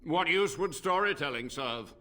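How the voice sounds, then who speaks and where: An actor playing an ancient, unrepentant blood mage in a fantasy video game, speaking in a grave, formal, theatrical way. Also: male voice, on the high side, animated, normal speed, bright, forceful tone. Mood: bitter, weary.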